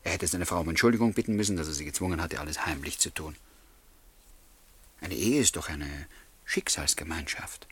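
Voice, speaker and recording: Low, husky lovelorn bachelor in a radio play. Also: male voice, fairly quick, a bit bright. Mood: guarded, smitten. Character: lovelorn bachelor, wistful and discreetly smitten. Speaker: actor in a radio drama. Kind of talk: German radio play dialogue and narration.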